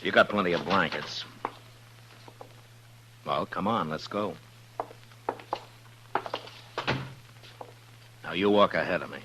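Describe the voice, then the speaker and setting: An actor playing a steady, laconic frontier marshal in a 1950s radio western, speaking in measured, terse phrases. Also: male voice, deep voice, close to an everyday voice. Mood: stern, unruffled. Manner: measured, terse, halting when explaining. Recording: noisy, humming old radio recording.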